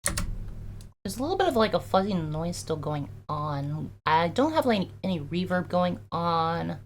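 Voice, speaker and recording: A young adult streamer with a high-toned voice, chatting casually and filling every pause with "like" and "um" while working through audio edits live. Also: very animated, a little hiss or hum. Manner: chatty, heavy use of "like" and "um".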